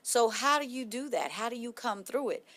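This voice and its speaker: A woman's voice: on the high side, expressive, moderate pace, fairly bright tone. A woman hosting a talk show, emphatic and speaking straight to her listeners. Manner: emphatic, addresses listeners directly.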